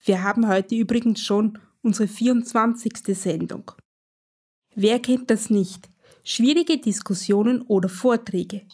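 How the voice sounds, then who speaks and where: A woman hosting a radio show, reading her introduction in measured, clear phrases. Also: medium pitch, darkish tone. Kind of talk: scripted radio presenting.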